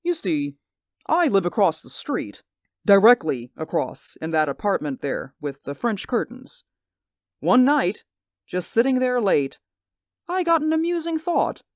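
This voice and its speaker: An actor playing a bitter, vengeful middle-aged stage actor in an old radio drama, theatrical and gloating, dropping into quiet menace. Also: male voice, high voice, big pitch swings, unhurried, dry recording, clean studio sound. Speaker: villain. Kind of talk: 1940s radio acting.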